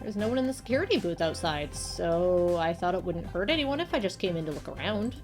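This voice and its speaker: A nerdy young man acted out by a woman from a visual novel game, pompous about his obsessions and quick to get defensive. He is nervous and fussy, stammering as he goes, with music playing behind him. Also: lowish voice, animated, close to an everyday voice. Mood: sheepish, excited.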